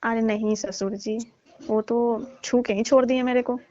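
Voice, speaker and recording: A woman's voice: on the high side, fairly even intonation, a little slow, warm tone, dry recording, lightly acted. A woman acting an aggrieved, insistent young newlywed daughter-in-law in a staged phone-call drama, complaining in colloquial speech. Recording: dull, lo-fi dry call audio.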